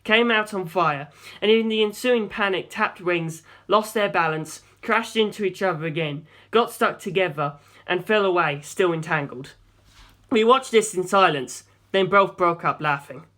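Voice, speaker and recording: A man's voice: high voice, moderate pace, fairly bright tone. A man reading a first-person story aloud as an audiobook narrator.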